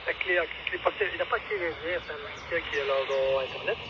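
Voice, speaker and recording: A man's voice: fairly high pitch, fast talker. A man with a Belgian French accent chatting casually over two-way radio in a rambling, hesitant way, repeating himself. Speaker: amateur two-way radio user. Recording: dull lo-fi two-way radio, clear noise, distant.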